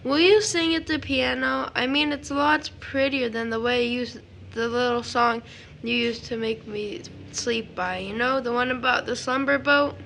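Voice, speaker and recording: An eager, innocent little boy played by a woman in an audio play. The voice is very high and bright, full of childlike wonder. Faint noise sits behind the recording. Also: steady tone, moderate pace, vocal fry. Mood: sweet, pleading. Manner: childlike grammar and wondering questions. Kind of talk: stage drama audio acting.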